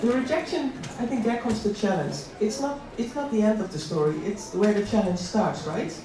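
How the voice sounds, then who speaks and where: A woman's voice: medium pitch, fairly quick, dark tone. Middle-aged woman speaker in a very echoey hall. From Netherlands. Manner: conversational, repeats key words for emphasis. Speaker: invited speaker at a career event. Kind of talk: personal motivational talk with slides. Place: large room at an employment event. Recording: echoey hall, distant mic, audience noise.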